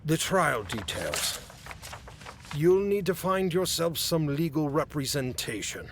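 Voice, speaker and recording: An actor playing a haughty, impatient fae official in a fantasy comedy audio drama, curt and formal. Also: male voice, on the high side, very animated, slow, a bit bright, a little hiss or hum, heavily acted. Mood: stern, formal. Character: exasperated haughty male fae official guarding a captive.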